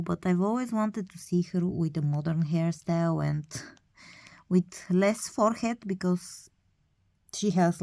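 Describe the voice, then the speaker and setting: Slow, unhurried Bulgarian-accented woman. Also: lowish voice, warm tone, no room sound. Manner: hesitant, many "uh" fillers, chatty, with nervous little laughs. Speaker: doll collector vlogger. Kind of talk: casual hobby vlog narration.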